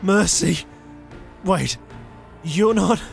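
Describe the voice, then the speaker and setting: A man acts a wounded paladin in a fantasy audio drama, bewildered and afraid. The high, gravelly voice falters very slowly, stammering over background music. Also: heavily acted. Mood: frightened disbelief. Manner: halting, gasping, stammering. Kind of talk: audio roleplay drama acting. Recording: music under the voice.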